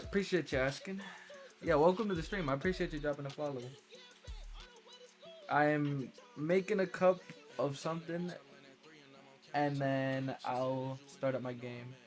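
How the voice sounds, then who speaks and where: A man's voice: fairly high pitch, moderate pace, long pauses, soft background music. A man chatting casually with viewers as a game streamer, friendly, appreciative and slangy. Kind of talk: casual livestream chat with viewers.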